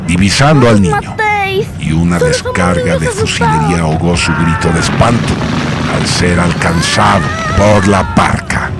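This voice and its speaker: A man's voice: sits low, huge pitch swings, moderate pace. A man narrating a radio drama from a script in a solemn, declamatory, dramatic way. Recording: loud music bed under the narration.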